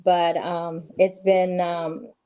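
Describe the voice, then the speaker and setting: A woman's voice: fairly low pitch, flat delivery, very slow, mellow, clean tone. A middle-aged woman from Peru, a guest on a video call interview, talking in a friendly way with many fillers and restarts. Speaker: guest, real estate agent. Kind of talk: casual interview conversation.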